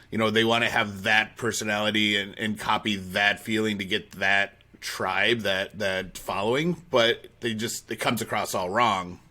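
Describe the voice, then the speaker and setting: A man from South Dakota with a Midwestern accent chats easily and laughs often. His voice sits fairly low, yet it comes out bright and forceful, with a tense edge as he tells his stories. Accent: Midwestern American. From South Dakota, USA. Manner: animated storyteller, laughs easily. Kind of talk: casual conversation.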